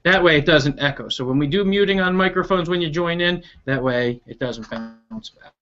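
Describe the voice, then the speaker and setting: A man's voice: fairly high pitch, normal speed. A man hosting a children's read-aloud over a video call, upbeat and chatty, explaining things directly to his viewers. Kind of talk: expressive storybook read-aloud with chatty asides. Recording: dull lo-fi online video call.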